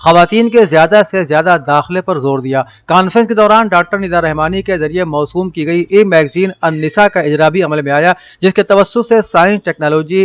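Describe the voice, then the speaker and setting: A man reading a scripted news bulletin in a formal, measured manner. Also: sits high, clean tone, dry recording. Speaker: news reader.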